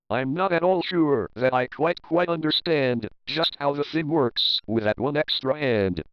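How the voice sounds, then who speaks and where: Higher-voiced man reading a children's storybook. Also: clear, resonant tone, dry recording, muffled recording. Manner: expressive, rhythmic reading of rhyming verse. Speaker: storybook narrator. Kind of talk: children's picture-book readalong narration.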